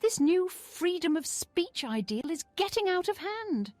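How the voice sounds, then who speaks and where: A woman's voice: very high-pitched, very animated, a little slow, a little mellow, faint music behind, heavily acted. A woman playing a pious, outraged townswoman in a fantasy game, emphatic and disapproving. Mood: indignant.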